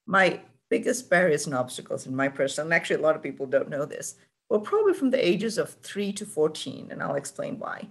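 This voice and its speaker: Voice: mid-range voice, big pitch swings, fairly quick, creaky, breathy edge. A surgeon from Sri Lanka answering a panel question, telling a personal story in a reflective, candid way with touches of humor.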